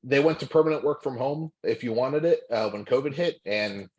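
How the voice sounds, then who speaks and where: A man's voice: mid-range voice, brisk, rough recording. A young man from California, a former college football lineman, chatting candidly as a guest from his home, with lots of fillers and restarts. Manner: relaxed and candid, many fillers and restarts, laughs often. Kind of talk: casual interview chat. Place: home room.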